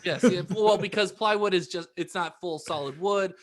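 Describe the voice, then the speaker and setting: A man with a noticeably high voice banters as a podcast co-host. He is goofy and joking, chatting loosely with friends. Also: normal speed. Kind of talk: casual group banter.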